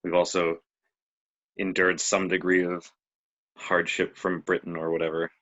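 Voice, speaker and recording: A man from Canada hosting a podcast, asking relaxed questions in casual chat. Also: low voice, a little slow. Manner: relaxed, asks questions with short agreeing replies. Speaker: podcast host. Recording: dull lo-fi audio.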